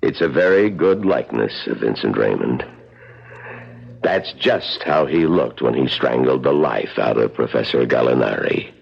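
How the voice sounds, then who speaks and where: An actor playing a courteous, morbid old man who looks after a murder museum, in unhurried radio drama style. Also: a bass voice, expressive, exaggerated voice. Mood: gravely ominous. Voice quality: gravelly, husky. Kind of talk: 1970s radio drama acting. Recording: dull lo-fi old radio broadcast.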